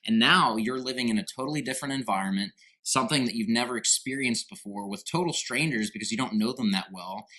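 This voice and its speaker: A man's voice: mid-range voice, fast talker, hardly any pauses, pushed and bright, poor audio. A man hosting a podcast interview, emphatic and addressing listeners directly. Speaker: podcast host.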